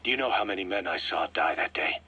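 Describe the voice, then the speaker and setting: An actor playing an embittered, vengeful military commander in a video game, speaking in a clipped, stern, forceful way. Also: male voice, low voice, animated, fast, breathy edge, lo-fi sound, heavily acted. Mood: bitter, resolute. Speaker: military commander briefing his boss. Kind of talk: acted radio-style briefing in a video game. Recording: band-limited radio tape, faint noise.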